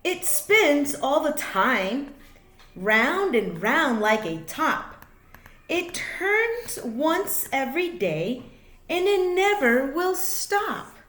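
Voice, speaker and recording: A woman reading a children's picture book aloud like a teacher, in an expressive, sing-song way with drawn-out words. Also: high voice. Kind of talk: read-aloud children's storytelling. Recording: echoey room.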